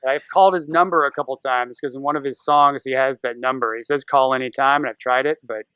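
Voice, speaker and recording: An American man chatting casually as a podcast guest, with an animated, irreverent manner, prone to swearing and acting out little quotes. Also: medium pitch, fast, clear, resonant tone, dry recording, muffled recording. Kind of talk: casual interview chat.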